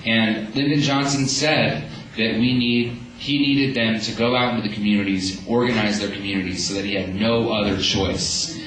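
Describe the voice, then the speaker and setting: A man's voice: on the low side, fairly even intonation, normal speed, few pauses, a bit bright, slight background noise. A man giving an earnest speech as a community organizer, with many restarts. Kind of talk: speech at a rally. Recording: echoey room, speaker far from mic.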